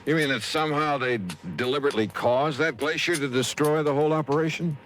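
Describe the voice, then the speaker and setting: An actor playing a wry, confident freelance investigator in a 1950s radio detective drama, brisk and wisecracking. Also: male voice, fairly high pitch, fairly even intonation, fairly bright tone, natural voice. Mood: probing. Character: wry, breezy freelance male insurance investigator. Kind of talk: 1950s radio detective acting and first-person narration.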